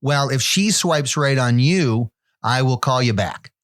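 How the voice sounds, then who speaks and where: Man as a judgmental phone operator reading out dating profiles; halting, fussy delivery in a normal male pitch. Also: animated, fairly bright tone. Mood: deadpan. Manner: hesitant, picky commentary with restarts.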